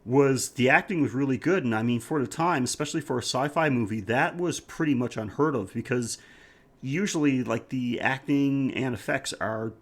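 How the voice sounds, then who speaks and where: A man's voice: medium pitch, normal speed. A man hosting a podcast, chatting casually about film in an enthusiastic, rambling way. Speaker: podcast host. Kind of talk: casual film review chat.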